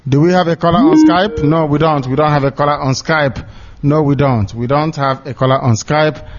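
A man's voice: fairly high pitch, moderate pace, faint music behind. Nigerian man hosting radio, dull lo-fi sound. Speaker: radio phone-in host. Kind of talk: live call-in radio hosting.

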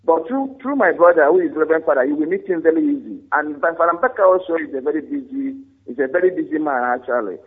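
Husky man on a tinny phone line. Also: on the high side, very animated, fast, hardly any pauses. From Enugu State, Nigeria. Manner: earnest, hesitant, filled with ums and repeats. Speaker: caller. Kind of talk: phone call to a radio show.

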